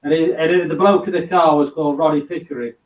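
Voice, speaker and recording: A man with a high voice chats and makes teasing, jokey asides. He sounds distant and echoey, and the audio is muffled and lo-fi. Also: fairly even intonation, quick pace, darkish tone. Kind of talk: casual chat. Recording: distant, roomy, dull sound.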